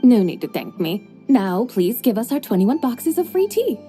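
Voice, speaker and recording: A woman voicing a calm, formal female traveler in a video game, speaking in a measured and precise way. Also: a little high, soft background music. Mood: composed.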